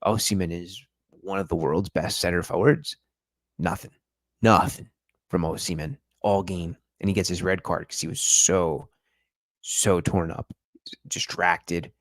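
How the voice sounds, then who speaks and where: Deep-voiced man reviewing a match. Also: steady tone, measured pace, no echo. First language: English. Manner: chatty, analytical, addresses viewers directly. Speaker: football podcast host. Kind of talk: live-stream match review.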